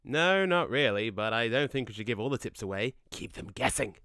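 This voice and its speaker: A man playing a cheeky, excitable ghost in an audio drama, chatty and exclamatory in his delivery. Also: mid-range voice, a little fast, no echo, clean studio sound. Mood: chatty, advising. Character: Sonny, excitable, cheeky male ghost, matey and mischievous.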